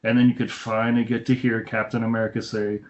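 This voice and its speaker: A man's voice: mid-range voice, flat delivery, fast talker. A man casually chatting as a podcast host, talking like an enthusiastic movie fan over an internet call. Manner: enthusiastic fan, acts out quoted lines. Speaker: film review podcast host. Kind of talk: casual chat, recounting a film's plot. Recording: dull lo-fi internet call audio.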